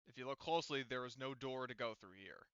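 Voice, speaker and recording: A man acting as game master in a casual tabletop session, speaking haltingly and checking details back with players. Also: mid-range voice, a bit bright, clean tone, dry recording, dull audio.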